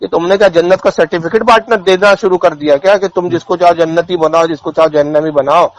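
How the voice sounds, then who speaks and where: High-pitched, scornful cleric. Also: male voice, steady tone, fast talker. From India. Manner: scornful, indignant, insulting, with rhetorical questions. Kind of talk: polemical religious talk, off the cuff.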